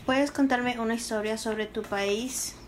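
A woman's voice: on the high side, fairly even intonation, measured pace. A woman interviewing someone for an oral history at her home, asking questions plainly and politely. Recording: clear background noise, clicks.

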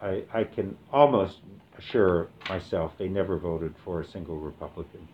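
A seventy-year-old man from the Midwest talks through his memories in an oral history interview, stopping often with "uh" and "um". His voice sits on the low side, and the recording is dull and muffled. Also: slight background noise. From American Midwest. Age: 70s. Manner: hesitant, with fillers and pauses. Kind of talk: relaxed reminiscing with reading aloud.

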